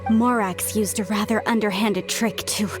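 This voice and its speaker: An actress playing an earnest, eager young scholar in a game cutscene. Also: female voice, mid-range voice, steady tone, normal speed, natural voice. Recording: game audio with music.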